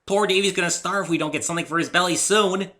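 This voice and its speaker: Guy doing a bubbly girl pony, super high and bright, earnest, story reading. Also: steady tone, fast. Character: bubbly young female pony, proud and earnest. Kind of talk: dramatic fan fiction reading.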